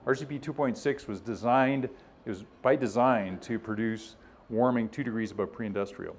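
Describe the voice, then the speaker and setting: Guy presenting at a science workshop, informal, explains stuff, kinda acts out what other people say, medium pitch. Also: moderate pace, a little hiss or hum. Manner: conversational, explanatory, acts out others' positions in imagined quotes.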